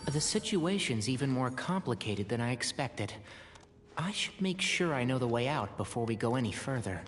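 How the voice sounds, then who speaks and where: A man voices a thoughtful explorer in a game. His mid-range voice is reflective and matter-of-fact. It rings in a long reverb with soft music behind. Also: mid-range voice, animated, normal speed, natural voice. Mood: pensive.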